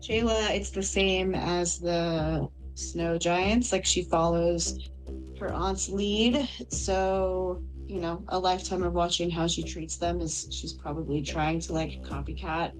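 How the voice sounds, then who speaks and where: Female tabletop player, lower register, casual character explanation, faint music bed. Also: steady tone, takes it slowly, poor audio.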